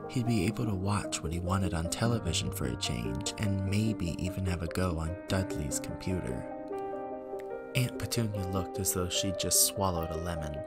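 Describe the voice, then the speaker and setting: Male audiobook narrator, low register, scripted story reading over clear music. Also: expressive, moderate pace. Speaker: narrator, amateur audiobook reader.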